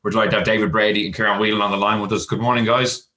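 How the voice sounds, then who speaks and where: An Irish man hosts a sports podcast from home over a webcam. He talks quickly in a bright, forceful voice that sits on the low side. His delivery is brisk and upbeat. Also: fairly even intonation. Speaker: podcast host. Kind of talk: casual sports chat. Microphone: webcam video call.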